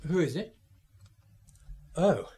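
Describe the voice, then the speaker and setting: Uneasy, husky-voiced engraver. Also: male voice, on the high side, animated, slow, dark tone, heavily acted. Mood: guarded. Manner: hesitant, guarded. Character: evasive, uneasy male engraver. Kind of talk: old radio drama acting.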